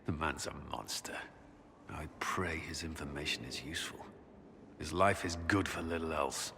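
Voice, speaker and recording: An actor playing an earnest, battle-worn military commander in love in a fantasy game, intense and halting when tender. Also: male voice, very deep voice, pushed and bright, a little hiss or hum, heavily acted. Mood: bitter, grim. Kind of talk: dramatic video game voice acting. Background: orchestral game music.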